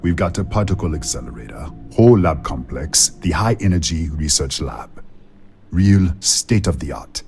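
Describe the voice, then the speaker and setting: An actor playing a helpful, weary facility worker in a video game, speaking in a casual, understated, matter-of-fact way. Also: male voice, very deep voice, lively intonation, moderate pace, darkish tone, faint music behind.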